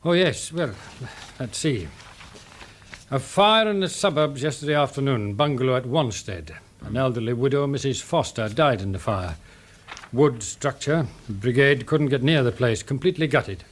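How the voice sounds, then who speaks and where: Actor as a mid-pitched English police inspector. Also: male voice, expressive, normal speed, a little hiss or hum, natural voice. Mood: matter-of-fact. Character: measured, courteous police inspector, mildly suspicious. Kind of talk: BBC radio drama acting.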